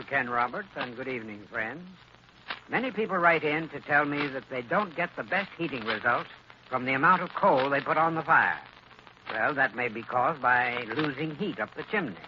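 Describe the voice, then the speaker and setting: Casting: man as vintage radio sponsor expert, measured advice delivery, muffled noisy broadcast sound. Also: medium pitch. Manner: measured, instructive. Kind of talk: 1930s-40s scripted radio advertising talk.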